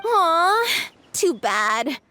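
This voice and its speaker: A bubbly young female adventurer, with a high, very lively voice. She is chirpy, eager and casual, in the manner of an anime-style game sidekick. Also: drawn-out words, full character voice. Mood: playfully disappointed. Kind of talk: animated video game dub acting.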